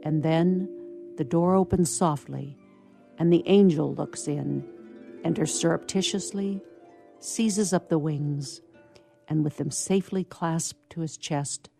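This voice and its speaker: Low, gravelly woman narrating over guitar. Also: takes it slowly, warm tone. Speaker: narrator of a radio play. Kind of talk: scripted radio theatre narration.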